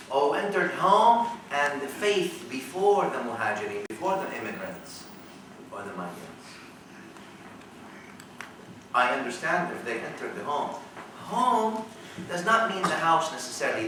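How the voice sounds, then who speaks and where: A man's voice: on the high side, sharp tone, a little hiss or hum. A man giving a religious lecture to a live audience, explaining a verse piece by piece and quizzing his listeners. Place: lecture room in a college. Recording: very echoey room, mic at a distance.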